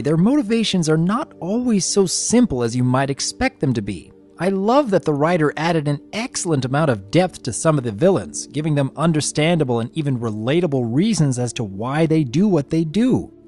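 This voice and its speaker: Man, lively melodic delivery, formal scripted commentary, faint background music. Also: fairly high pitch, fairly quick. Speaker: guest reviewer.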